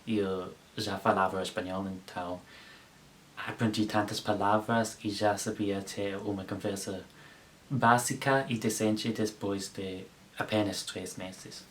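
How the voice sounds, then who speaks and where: Teenage English boy, low-voiced learner. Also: a little hiss or hum. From England. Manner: careful learner's speech with frequent self-corrections and restarts. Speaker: language vlogger. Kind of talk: casual storytelling to camera.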